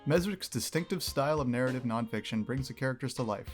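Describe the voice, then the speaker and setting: A man's voice: medium pitch, lively intonation, fast talker, soft background music. A man reading a glowing, scripted book review aloud.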